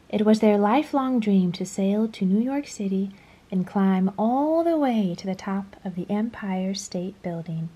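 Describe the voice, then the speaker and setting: A woman reading a children's story aloud in a dramatic storytelling style, dropping to a hush at phrase endings. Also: mid-range voice, breathy edge. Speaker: storybook reader. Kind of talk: expressive children's read-aloud narration.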